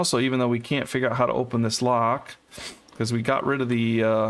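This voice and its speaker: A man casually commenting on a co-op game as he plays, thinking aloud and unsure as he works through a puzzle. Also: medium pitch. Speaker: co-op game let's player.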